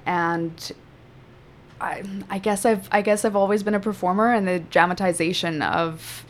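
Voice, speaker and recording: A woman whose first language is Afrikaans, a spoken word poet and teacher, performing a poem with slow dramatic delivery and chatting about her craft. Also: fairly low pitch, fairly even intonation, a little hiss or hum. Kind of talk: poetry performance and conversation.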